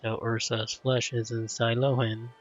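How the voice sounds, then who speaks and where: A man's voice: mid-range voice, level tone, unhurried. A man commenting casually on a game he is playing, in brief, subdued remarks on a dull lo-fi mic. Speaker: gamer commentating a playthrough. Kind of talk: casual reactions to game dialogue. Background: faint game music.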